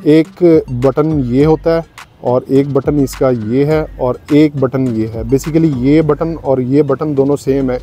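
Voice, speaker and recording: A man's voice: a little high, mellow. A man, a vlogger demonstrating a product step by step in a tutorial style.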